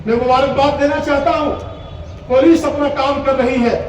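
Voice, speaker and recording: Male cleric, public religious oration, emphatic repetition, exhortatory, very high pitch, distant echoey sound. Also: fairly even intonation, normal speed, highs cut off. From Poonch, Jammu and Kashmir, India. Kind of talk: impassioned Urdu public oration at a religious gathering. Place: large gathering, speaking from a stage. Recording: echoey public address, distant, faint noise.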